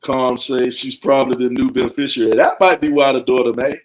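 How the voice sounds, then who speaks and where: A man's voice: medium pitch, little pitch movement, fairly quick, low-quality recording. A married man co-hosting a podcast, playful and laughing as he banters. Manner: playful, laughing, stretches for jokes with sudden jumps up. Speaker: podcast co-host.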